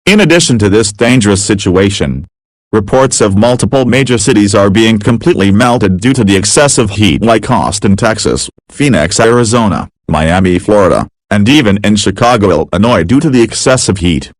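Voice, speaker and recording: A man's voice: lowish voice, lively intonation, normal speed, no echo. A man playing an overheated radio host reporting on a disaster in an amateur audio drama. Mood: uneasy, reporting.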